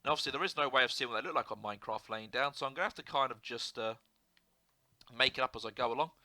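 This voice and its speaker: A young man with a sore throat and a slightly hoarse voice, chatting casually as he narrates a building game, rambling with fillers and restarts. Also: medium pitch, racing, sharp tone, no echo. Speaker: gaming video host. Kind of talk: casual gameplay commentary.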